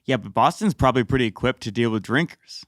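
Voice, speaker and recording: A man co-hosting a sports podcast, chatty and quick, with lots of "like". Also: mid-range voice, lively intonation, fairly bright tone, no echo, studio quality. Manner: quick, chatty, says "like" a lot. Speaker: podcast co-host. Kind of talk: casual sports chat.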